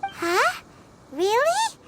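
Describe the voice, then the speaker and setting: A woman voicing a tiny, excitable, childlike fairy companion in a video game, with a squeaky, bubbly delivery. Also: drawn-out words, background noise, full character voice. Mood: excited. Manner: bubbly, exclamatory, talks in third person. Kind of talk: animated video game voice acting.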